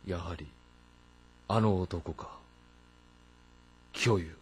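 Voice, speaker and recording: An actor playing a shrewd, commanding middle-aged warlord of ancient China in a game drama, speaking in a measured, brooding way. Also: male voice, sits low, darkish tone, breathy edge, full character voice. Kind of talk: Japanese historical drama game acting. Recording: music behind the voice. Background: background music.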